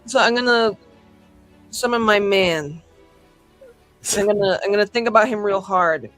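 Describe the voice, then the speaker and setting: Slow, rambling, wry woman roleplayer. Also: medium pitch, a bit bright, soft background music. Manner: rambling, slangy, sweary, wry. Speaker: player in a tabletop roleplaying game. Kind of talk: casual improvised roleplay chat.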